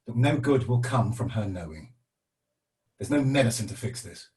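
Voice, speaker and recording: An actor playing a grim, defensive realist who delivers bad news in a dramatic script read. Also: male voice, on the low side, animated, normal speed, a little mellow, lightly acted, low-quality recording. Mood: bitter, resigned. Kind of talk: table read of a screenplay, dramatic dialogue.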